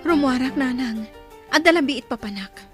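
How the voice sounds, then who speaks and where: A woman's voice: high-pitched, animated, normal speed. A woman playing a worried, indignant young daughter in a radio drama. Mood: pleading. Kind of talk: radio drama acting in Ilocano. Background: music.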